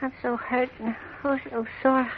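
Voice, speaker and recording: A timid little creature, weak and plaintive, lamenting in rhyme. The high voice stays on a narrow, mournful line, through a dull old radio recording with light noise. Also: female voice, little pitch movement, normal speed. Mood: frightened, doleful. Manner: speaks in rhyme, woeful and plaintive. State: in pain, weak. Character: small timid rhyming creature, beaten and groaning, gentle and doleful. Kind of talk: 1940s radio acting.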